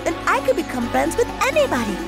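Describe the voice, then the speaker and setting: A very high, lively girlish voice for a gentle young video game heroine. She speaks with earnest, wistful sincerity over loud music. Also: fast, vocal fry, exaggerated voice. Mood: earnest, hopeful. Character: gentle, sincere young woman eager to travel the world. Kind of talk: video game cutscene acting.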